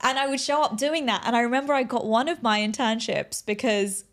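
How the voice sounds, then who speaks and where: A woman's voice: a little high, steady tone, a little fast. A woman speaking as an interview guest, telling personal stories conversationally in an earnest, emphatic, motivational way. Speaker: interview guest, aspiring TV presenter telling career story.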